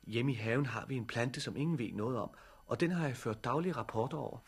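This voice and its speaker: Keen nerdy teenage boy in an old 60s radio play, bit husky, earnest. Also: medium pitch. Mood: nervous, eager. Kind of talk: 1960s radio drama acting. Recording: 1960s radio drama recording.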